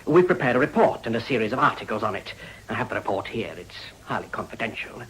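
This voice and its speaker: An actor playing a zealous, insistent newspaper editor, formal and persuasive, in a period radio drama. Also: male voice, on the low side, lively intonation, fast, a bit bright, a little hiss or hum, rough recording. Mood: grave, insistent.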